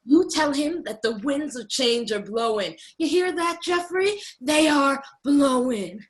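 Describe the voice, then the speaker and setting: A woman playing a brash, bossy young rebel from a fairy tale in a radio comedy, sassy, slangy and excitable. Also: high-pitched, measured pace. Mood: sassy, urging. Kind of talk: irreverent radio play acting.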